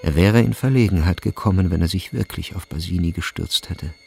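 Low, creaky male literary narrator. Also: a little fast, warm tone. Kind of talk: literary narration in a radio drama.

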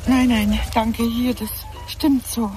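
A woman's voice: fairly high pitch, fairly even intonation, slow pace, echoey room, distant mic, music in the background, poor audio. An actress playing a distraught, evasive woman in a German radio drama, speaking hesitantly and apologetically. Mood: startled, anxious. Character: distraught, evasive grown woman, polite but shaken.

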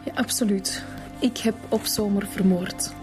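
A woman's voice: medium pitch, fairly even intonation, unhurried, music in the background, natural voice. An actress playing a guilt-ridden, fragile teenage schoolgirl in a Flemish radio drama, speaking hesitantly. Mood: defiant, shaken. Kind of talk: naturalistic radio drama acting.

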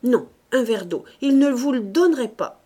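A woman doing a harried servant woman who mutters and scolds as she hurries along. Her voice sits higher and louder than the narration, with a husky grain. She rises sharply in pitch when she complains. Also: animated, moderate pace. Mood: grumbling. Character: grumbling flustered female servant, middle-aged.

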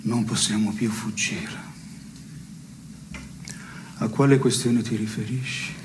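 Deadpan stage man, slow deliberate delivery w long pauses, noisy recording. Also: lowish voice. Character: deadpan man solemnly pondering what should die. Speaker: stage actor. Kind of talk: deadpan two-hander theatre dialogue. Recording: clearly noisy background.

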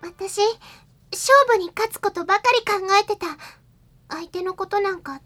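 A woman playing an earnest, emotive, girlish anime schoolgirl in a radio drama, sweet and excitable. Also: very high-pitched, moderate pace, faint music behind. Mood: remorseful.

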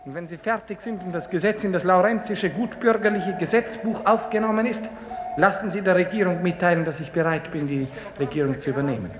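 An actor playing a tyrannical, capricious director-general in a pompous, theatrical 1950s German radio drama manner. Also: male voice, sits high, brisk, close to an everyday voice. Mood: imperious. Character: tyrannical, capricious, self-important male director-general ruler. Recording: noisy, muffled vintage radio recording.

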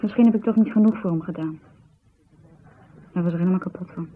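An actress playing a bitter, blunt abandoned wife who wants to drink, brittle and sardonic, in a naturalistic radio drama. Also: female voice, on the low side, quick pace, natural voice. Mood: despairing. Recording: muffled, noisy 1970s radio recording.

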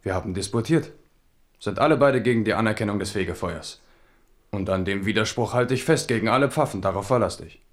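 A man's voice: lowish voice, fairly quick, lightly acted. An actor playing a wry, commanding French king in a German radio drama, terse, probing and brooding. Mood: defiant.

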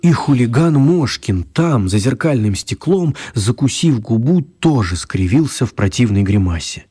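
A man narrating a children's radio story expressively, with theatrical emphasis and drawn-out words. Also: medium pitch, expressive, warm tone, husky.

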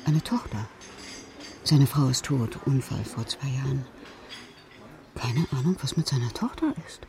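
A woman playing a guarded, loyal hospital secretary in a radio drama, clipped and discreet, with a low, creaky voice. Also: lively intonation, measured pace, background noise, heavily acted. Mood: hushed, worried.

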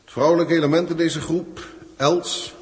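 A man's voice: fairly high pitch, fairly even intonation, darkish tone, close to an everyday voice. An exasperated senior alien bureaucrat played by a man in an old Dutch radio drama, with a Netherlands Dutch accent. He is pompous and pedantic, weighing his words formally, and his voice rings in a long hall reverb. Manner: formal, pedantic, grumbling, deliberate hesitations. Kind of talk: 1960s Dutch radio play acting.

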